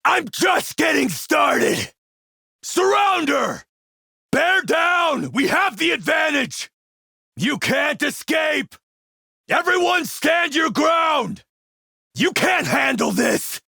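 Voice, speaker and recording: A man playing a smooth, menacing military warlord and arms dealer in a video game, suave, commanding and measured. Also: very high voice, very animated, sharp tone, no room sound, shouting, studio quality, full character voice. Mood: furious battle shouting. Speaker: villain character in an action role-playing game. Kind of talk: acted video game dialogue.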